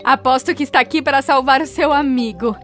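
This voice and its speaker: A woman's voice: high-pitched, brisk, fairly bright tone, faint music behind. A woman voicing a gloating, wicked sea witch with theatrical, menacing taunts in a children's cartoon.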